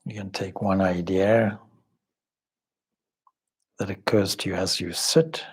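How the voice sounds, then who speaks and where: A man leading a guided meditation as a spiritual teacher, speaking in measured, deliberate short phrases with long pauses and formal, contemplative wording. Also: sits low, fairly even intonation, breathy edge. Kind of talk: guided meditation, slow spoken instruction.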